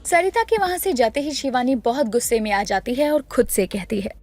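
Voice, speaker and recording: High-pitched woman storyteller. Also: big pitch swings, fairly quick, darkish tone, faint music behind. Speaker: narrator. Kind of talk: scripted story narration.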